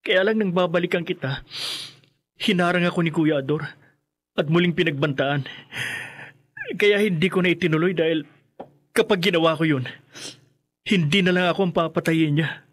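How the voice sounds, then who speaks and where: Radio drama: remorseful, fearful Filipino kid brother, higher-pitched husky voice, earnest delivery. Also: male voice, normal speed, pauses often, a bit bright. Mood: fearful, apologetic. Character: frightened, remorseful younger brother confessing as witness.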